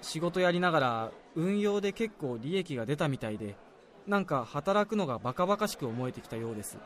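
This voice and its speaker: Man playing an earnest, higher-voiced young office worker. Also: sing-song, fairly quick. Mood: regretful. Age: young adult. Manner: deferential, confiding, apologetic. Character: earnest, self-doubting young male junior salaryman. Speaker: actor in a radio drama. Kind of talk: Japanese radio drama dialogue.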